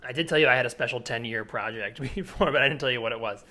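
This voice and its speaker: A man's voice: mid-range voice, quick pace, sharp tone, natural voice. A man improvising a comedy scene as a sheepish, obsessive man asking a favour, rambling and hesitant. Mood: obsessive, pleading. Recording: remote recording with faint background noise.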